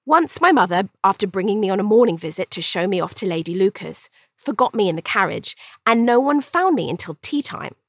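A woman's voice: mid-range voice, animated, fairly quick, breathy edge, dry recording, studio quality. A woman voicing a cheeky, vain young Regency Englishwoman telling her own story in an arch, chatty, theatrical way for an audiobook. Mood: wry, confiding. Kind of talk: first-person audiobook storytelling.

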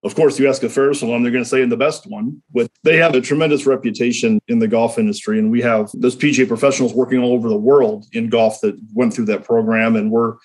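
A man who runs a regional golf association, a guest in a radio interview, speaking in a chatty, easygoing way with a slightly raspy, raw voice from a cold. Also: mid-range voice, steady tone, fast talker, hardly any pauses, a little mellow, poor audio. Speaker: golf association executive director.

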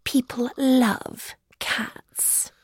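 A woman's voice: fairly high pitch, steady tone, extremely slow, slightly rough, dry recording, full character voice. A woman voicing a smug, haughty pet cat with a condescending, purring drawl in a dramatised children's audiobook. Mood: gloating.

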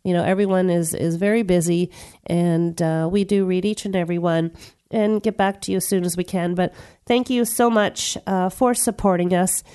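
A woman's voice: on the low side, fairly even intonation, normal speed, clean tone. A woman hosting a radio health talk show, nasal from the tail end of a cold and talking in a chatty, upbeat way. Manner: warm, chatty, upbeat and welcoming.

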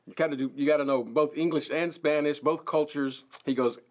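A woman's voice: sits low, fairly even intonation, fairly quick, darkish tone. A woman co-hosting a podcast, chatting casually with teasing, bantering interjections. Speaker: podcast co-host.

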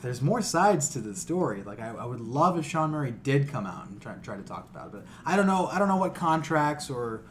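Animated, sweary male podcast host with lively pitch. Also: fairly quick, hardly any pauses, slight background noise. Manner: profane, animated, conversational. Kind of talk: casual podcast chat about gaming.

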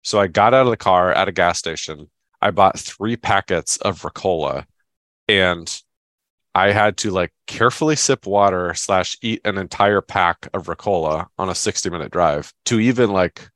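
A man's voice: low voice, normal speed, fairly bright tone, no echo. A man co-hosting a podcast in casual chat, sounding stuffed up and talking in an enthusiastic, rambling way. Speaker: podcast co-host.

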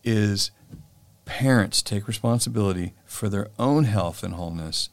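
A man with a fairly low voice talks through his ideas in an earnest, reflective way, pausing on fillers as he goes. The sound is dry and close, with no room around it. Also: dry recording. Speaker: podcast guest, author. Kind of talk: conversational interview.

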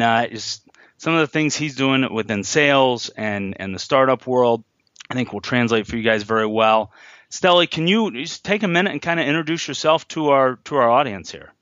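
A male podcast host opens the interview with upbeat enthusiasm, stumbling now and then on fillers as he introduces his guest. His voice is an everyday middle pitch. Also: a bit bright, no room sound, muffled recording.

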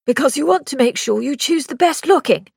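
Woman doing smug young male doctor, teasing, slightly high, dry close mic. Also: lively intonation, brisk, creaky, no room sound. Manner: teasing, exasperated.

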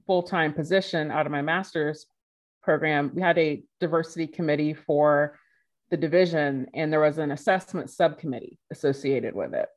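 A woman in her forties, a podcast guest answering questions in a lively, conversational way with frequent laughs. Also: low-pitched, moderate pace, poor audio. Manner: animated, laughs while telling stories.

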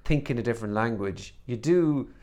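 Guy interviewer, mid pitch, halting questions full of ums. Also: expressive, darkish tone. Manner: hesitant questions, many fillers. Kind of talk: casual interview conversation.

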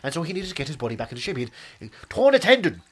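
A man's voice: a little high, big pitch swings, rapid-fire, husky. Rambling pompous man, "of course". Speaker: comedic improviser in character. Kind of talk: improvised comedy bit, rambling mock-pompous persona.

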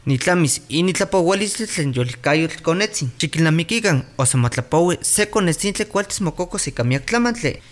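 A woman's voice: lowish voice, animated, normal speed, few pauses, natural voice. A woman playing an instructive health worker who explains things to a group in a radio drama. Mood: explanatory. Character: instructive female health worker leading a women's talk. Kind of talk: radio drama dialogue in Nahuatl.

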